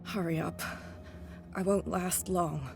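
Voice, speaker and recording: A woman's voice: on the low side, fairly even intonation, slow pace, vocal fry, noisy background. An actress playing a tough, terse woman who is wounded, weakened and in pain, in a video game. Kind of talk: video game cutscene acting.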